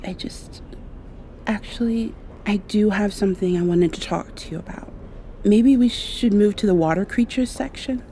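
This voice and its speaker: A woman playing an earnest, insecure young vampire girl in an ASMR roleplay, speaking softly and hesitantly, as if confiding. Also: medium pitch, gravelly. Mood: hesitant, vulnerable, then wistful.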